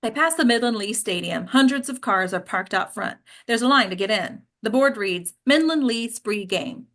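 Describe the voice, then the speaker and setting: A woman's voice: mid-range voice, lively intonation, normal speed, fairly bright tone. A woman reading scripted stage directions as narrator at a table read.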